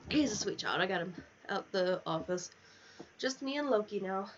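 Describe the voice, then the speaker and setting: A woman's voice: medium pitch, lively intonation, normal speed, a little hiss or hum. Casual woman streamer, dull headset sound. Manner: informal, rambling, affectionate. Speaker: video game livestreamer. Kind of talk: casual livestream chat over gameplay. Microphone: sensitive headset mic.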